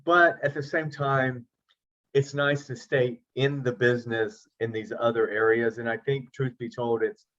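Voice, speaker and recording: A man's voice: mid-range voice, fairly even intonation, measured pace, fairly bright tone. A middle-aged man, an actor and producer, chatting easily as an interview guest over a video call, with self-deprecating jokes. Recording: slightly dull lo-fi audio.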